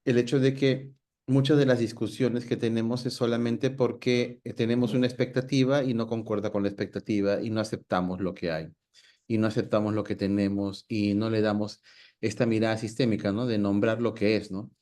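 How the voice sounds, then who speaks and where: A man's voice: fairly low pitch, level tone, fairly quick, warm tone. A man, hosting a talk and reflecting thoughtfully with many fillers. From Peru. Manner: reflective, asks the guest's view, many fillers. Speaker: host. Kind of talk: casual conversational talk.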